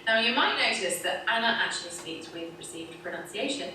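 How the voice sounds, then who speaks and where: A woman's voice: fairly low pitch, expressive, fairly quick. British lady teaching vowel sounds, chirpy, echoey far-off playback with noise. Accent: standard southern British. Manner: cheerful, explanatory, laughs often. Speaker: English teacher, video host. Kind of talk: pronunciation lesson video. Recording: echoey video played in a room, heard from afar with noise.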